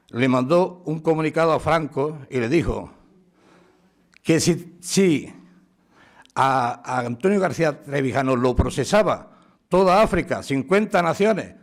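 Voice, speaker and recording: Man telling a lively anecdote. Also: on the high side. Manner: storytelling, acting out dialogue, rising emphatic. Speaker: speaker at a tribute event. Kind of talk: anecdotal public speech. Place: council chamber.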